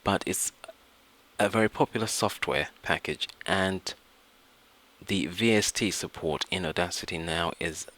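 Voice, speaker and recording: Male tutorial presenter, low pitch with a crisp bright edge, informal and explanatory. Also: unhurried. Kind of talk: informal software tutorial narration.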